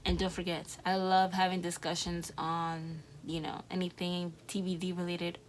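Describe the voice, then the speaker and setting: A woman's voice: on the low side, little pitch movement, moderate pace, a little hiss or hum, rough recording. A young woman with a Dominican accent, chatting casually to her viewers as a reaction host, rambling with fillers and restarts.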